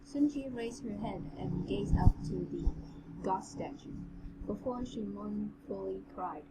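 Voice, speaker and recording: A woman's voice: medium pitch, a little slow, heavy background noise. A woman doing amateur narration of a fantasy novel, as co-host of a reading show, on a home recording.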